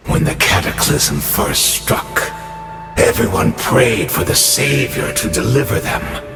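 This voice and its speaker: An actor playing an ancient fallen knight in a video game, weary and sardonic, speaking theatrically with halting pauses. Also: male voice, medium pitch, gravelly. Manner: slow, theatrical, pausing mid-sentence. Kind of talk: dramatic video game voice acting. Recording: reverberant game audio under music.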